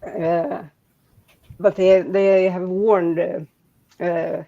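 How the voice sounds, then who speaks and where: A woman from Sweden with a Swedish accent, speaking English as a second language in a casual online group chat, halting and rambling with many restarts and fillers. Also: lowish voice, little pitch movement, gravelly, warm tone, highs cut off.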